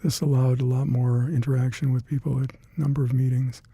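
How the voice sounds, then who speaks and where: Older man, gravelly and dark, halting recall. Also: medium pitch, flat delivery, no echo. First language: Hungarian. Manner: halting, reflective, many fillers and restarts. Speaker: interviewee, retired scientist. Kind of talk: oral history interview.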